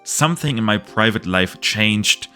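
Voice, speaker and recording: A lower-voiced man with a German accent rambles in a casual video monologue. His talk is loose and candid, with an occasional swear word. Soft music plays faintly underneath. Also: animated, unhurried. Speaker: card game YouTuber.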